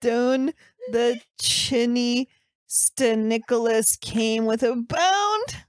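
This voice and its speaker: Podcast comedy role: flustered, dim young male student, played by a woman, painfully slow halting delivery, awkward strained voice, very dry sound. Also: fairly high pitch, clear, resonant tone, no room sound. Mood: halting, earnest. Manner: halting read-aloud, stumbling over and mangling words. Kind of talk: improvised comedy podcast character.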